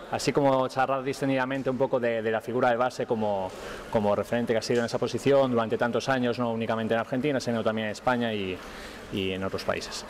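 A man's voice: mid-range voice, background noise. A man in his thirties introducing a guest and asking questions, a little hesitant and repeating words. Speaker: interviewer. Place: indoor room, seated on a couch. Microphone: handheld mic.

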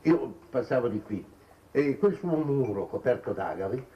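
An actor playing an obsequious, nosy gentleman in a 1950s Italian television period drama, speaking with ceremonious, insinuating courtesy. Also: medium pitch, lively intonation, moderate pace, close to an everyday voice. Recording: dull, roomy 1950s TV soundtrack.

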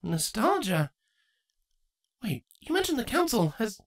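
Woman acting a bookish young changeling girl, high lively voice, earnest, easily flustered, storybook character. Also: measured pace, heavily acted. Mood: surprised. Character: excitable, bookish young female changeling princess.

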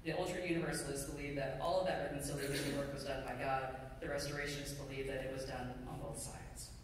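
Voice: medium pitch, level tone, fast talker. Echoey sermon from a middle-aged pastor. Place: church sanctuary pulpit, indoors. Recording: very echoey hall, distant mic, faint noise.

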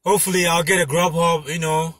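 A man in his thirties talking casually and emphatically to camera as a delivery driver giving tips, repeating his points, with a husky voice. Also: a little high, level tone, fairly quick, fairly bright tone, no room sound. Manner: emphatic, repetitive, punctuated with "you know". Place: inside a parked car. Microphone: phone mounted in front of him.

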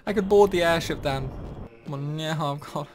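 A man's voice: a little high, normal speed, darkish tone, a little hiss or hum. A young man giving rambling, casual commentary over a game playthrough. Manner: chatty, rambling, lots of likes and uhs. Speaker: gaming youtuber.

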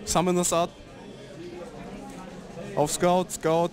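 A man's voice: sits high, monotone, slow, music in the background. A young man, commentating a card game tournament in a laid-back, slangy way.

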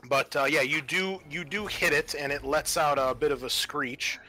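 A man with a bright, forward voice runs a tabletop game. He talks casually and haltingly, working things out aloud, with music underneath. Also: a little high, poor audio. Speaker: game master.

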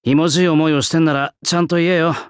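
An actor playing a gruff swordsmith, an old soul in a young man's body, with blunt old-fashioned artisan speech in a game. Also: a little high, fairly even intonation, fast, dry recording, studio quality, lightly acted. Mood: gruff, reflective. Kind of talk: Japanese game character voice lines.

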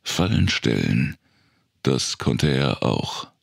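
A man with a deep, gravelly, husky voice reads a dark thriller audiobook in a measured, deliberate storytelling manner. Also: sing-song, noisy background. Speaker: audiobook narrator. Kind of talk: scripted narration of a dark post-apocalyptic thriller audiobook.

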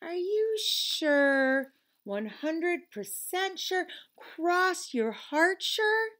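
A woman's voice: very high voice, sing-song, fairly bright tone, voice pushed up, full character voice. Woman voicing a bossy, scolding little conscience. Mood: suspicious, scolding. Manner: interrogating, drawn-out mock suspicion.

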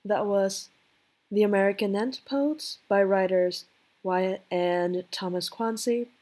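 A woman's voice: medium pitch, unhurried, a little mellow. A woman hosting, casually announcing credits. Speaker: host.

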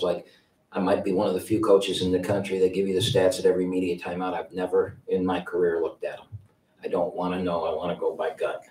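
A blunt older coach with a very low voice rambles through his answers, restarting and repeating words. He sits at a table mic some distance away in an echoey press room. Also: a little mellow, a little hiss or hum. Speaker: head basketball coach. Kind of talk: post-game press conference answers. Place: press conference room with sponsor backdrop. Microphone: table mic, heard from a distance.

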